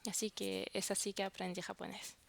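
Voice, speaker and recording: A woman's voice: medium pitch, flat delivery, fairly quick, gravelly. A woman whose first language is French, vlogging casually to camera and searching for words as she talks.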